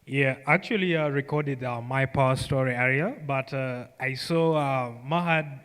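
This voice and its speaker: A man's voice: mid-range voice, normal speed, fairly bright tone, smooth. A young man with a Ugandan accent giving an informal personal speech to an audience, telling his story conversationally. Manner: conversational storytelling with frequent "you know" and "like". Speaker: programme graduate giving a speech. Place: event hall, live on stage. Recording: reverberant hall sound.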